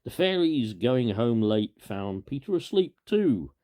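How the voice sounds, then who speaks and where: A man reading a children's story aloud in an animated way, with informal asides and a gravelly, husky voice. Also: mid-range voice, a little slow. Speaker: storybook reader.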